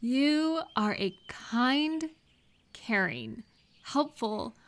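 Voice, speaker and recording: Girl playing a kind supportive friend, comfort audio, soft reassuring questions, really slow, voice a bit high. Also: big pitch swings, exaggerated voice. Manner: gentle, reassuring, unhurried, asks soft questions. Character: kind, caring female friend comforting and encouraging a listener. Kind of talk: comfort audio roleplay, one-sided conversation.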